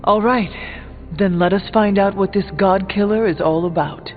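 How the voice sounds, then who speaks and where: Guy doing an earnest formal hero for a game, pretty high voice, long reverb, faint music. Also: lightly acted. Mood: resolute. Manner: formal, deliberate, avoids contractions. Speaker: game character voice actor. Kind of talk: video game dialogue acting. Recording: thin narrow band, reverberant, music behind.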